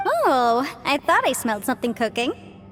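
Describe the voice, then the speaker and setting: High, lively, cheerful young woman. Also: moderate pace, faint music behind. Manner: friendly, expressive, chatty. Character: cheerful, articulate young woman legal adviser, upbeat. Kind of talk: video game voice acting.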